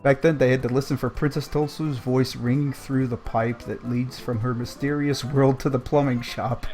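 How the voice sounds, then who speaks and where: A man hosting a casual group read-aloud, reading passages and breaking off into joking, halting asides. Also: mid-range voice, fairly even intonation, darkish tone. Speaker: host reading a book aloud on a livestream. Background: music playing faintly.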